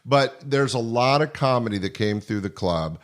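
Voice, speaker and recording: A man's voice: mid-range voice, animated, moderate pace. An older American man hosting a podcast, reminiscing in a casual interview style. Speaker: podcast host.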